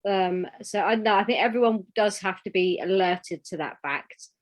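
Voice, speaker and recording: A woman's voice: mid-range voice, normal speed. A woman in a casual chat, skeptical and teasing, laughing often. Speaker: podcast co-host.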